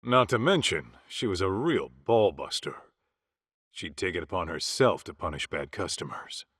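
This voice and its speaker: Gruff, deep-voiced streetwise bodyguard. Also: male voice, expressive, normal speed, a bit bright, dry recording, clean studio sound, exaggerated voice. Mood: reminiscing. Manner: streetwise, blunt. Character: gruff, loyal male yakuza bodyguard turned detective. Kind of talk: dramatic video game cutscene acting.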